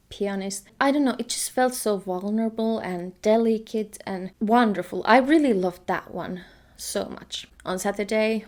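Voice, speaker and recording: A young woman from Finland with a Finnish accent, chatting casually in a vlog and laughing often. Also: mid-range voice. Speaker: vlogger.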